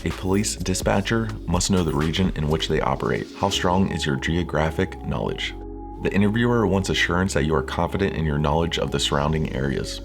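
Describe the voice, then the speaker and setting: A man presenting scripted instructional narration in a measured, formal, clearly structured way. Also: a bass voice, music in the background. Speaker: presenter of interview coaching videos.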